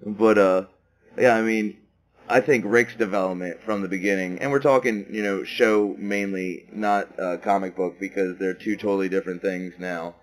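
A man co-hosting a podcast in a casual chat, laid-back and quick to laugh, with lots of fillers. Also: deep voice, fairly even intonation. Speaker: podcast co-host. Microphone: podcast microphone.

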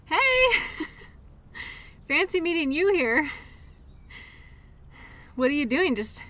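A caring, playful girlfriend, acted by a woman for an intimate roleplay audio. Her voice is fairly high and clearly bright. She speaks in a breezy, affectionate way, as if chatting one-on-one with her partner. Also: sing-song, very slow, a little hiss or hum, heavily acted. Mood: playful, tired. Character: tired, playful, caring girlfriend at a mud race.

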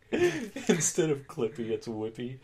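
A man's voice: mid-range voice, a little mellow. A man in playful casual banter, slipping into silly amateur character bits during a group reading.

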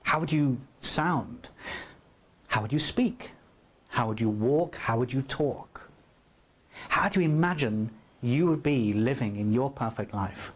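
A man in his forties giving an upbeat, persuasive promotional pitch to camera in an indoor room, on a lapel mic. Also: expressive, a little slow, husky. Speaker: course presenter. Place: indoor room by a flip chart.

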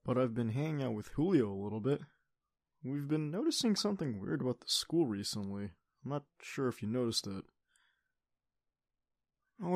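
A man acts out an affectionate teenage boyfriend in an audio roleplay. His voice is mid-range and soft-spoken, with a playful, tender way of talking. It is recorded close and dry, intimate in the ASMR style. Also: medium pitch, no room sound, lightly acted. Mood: sincere, casual.

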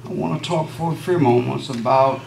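A middle-aged man, a visiting pastor, opening his sermon and praying from the pulpit with a measured cadence and frequent amens. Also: mid-range voice, creaky, a little mellow. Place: wood-paneled church sanctuary. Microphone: lectern microphone, camera at back of room. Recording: echoey room, distant camera, congregation responses.

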